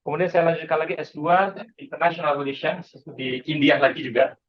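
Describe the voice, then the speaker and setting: A man's voice: a little high, fairly quick, lo-fi sound. A man in his late thirties with an Indonesian accent gives an informal, conversational lecture to students, dotting his speech with small checking tags. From Bengkulu, Indonesia. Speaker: university lecturer. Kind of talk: informal lecture to students, mixing in English phrases.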